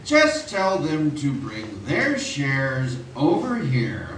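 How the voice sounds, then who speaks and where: Scheming old male tycoon acted by a man, condescending and bright-voiced, radio drama, picked up at a distance in a theatre. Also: medium pitch, huge pitch swings, heavily acted. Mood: smug. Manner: deliberate, menacing, condescending. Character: calculating, smug old male tycoon villain. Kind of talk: stage radio play acting, 1940s manner. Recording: echoey theatre stage, distant, faint noise.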